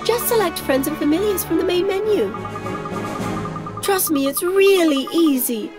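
A woman's voice: extremely high pitch, lively intonation, measured pace. A woman voicing a cheerful, eager young girl in video game dialogue, bubbly and encouraging. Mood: bright, instructive. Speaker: character voice in a game playthrough. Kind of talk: acted game tutorial dialogue. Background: prominent game music.

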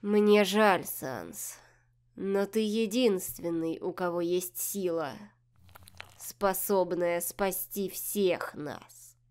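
A woman's voice: lowish voice, very slow, darkish tone, clear, resonant tone. A woman playing a fierce, desperate monster warrior queen with an intense, menacing manner in a comic dub. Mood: pleading, grieving.

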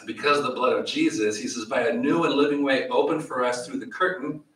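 Middle-aged man, church teacher, lively questioning manner, roomy echo, heard from a distance. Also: medium pitch, brisk, a little hiss or hum. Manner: animated, gestures widely, asks the audience questions. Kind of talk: Bible study teaching. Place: small church meeting room. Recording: echoey room, voice from far off.

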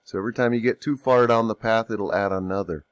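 Male tutorial narrator, slightly stuffy from a cold; low-pitched, dry close mic, relaxed and hesitant. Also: smooth, dry recording. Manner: unhurried, thinking aloud with ums and self-corrections. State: getting over a cold. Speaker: software tutorial instructor. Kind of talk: screen-recorded software tutorial.

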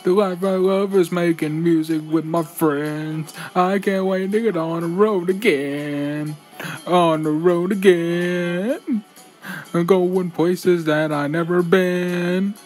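A woman's voice: fairly low pitch, steady tone, slow pace, a little mellow. A woman performing an amateur fan dub of a country music show, singing and speaking character lines. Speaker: amateur fan dubber performing a bear stage revue. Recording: music playing behind.

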